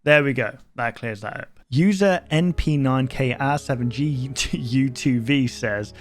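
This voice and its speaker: Male host, casual reaction talk, thinks out loud with lots of restarts; average pitch, soft music bed. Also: unhurried, dry recording. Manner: thinks aloud, rambling with many fillers and restarts.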